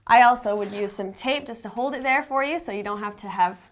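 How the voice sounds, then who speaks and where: A woman's voice: fairly high pitch, a little fast. A woman narrating a how-to tutorial, explaining things step by step as an instructor. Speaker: instructor, company representative.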